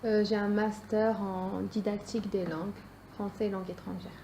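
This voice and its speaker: A woman's voice: medium pitch, flat delivery, moderate pace, noisy background. A woman in her twenties from France, giving short plain interview answers with occasional fillers. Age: 20s.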